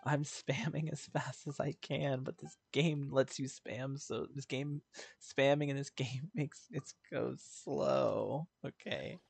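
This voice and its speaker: A man doing casual, informal gameplay commentary on a livestream, thinking out loud and swearing freely. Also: medium pitch, fairly even intonation, slow, creaky, slightly rough, dry recording. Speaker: game streamer. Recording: game music behind the voice. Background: video game music.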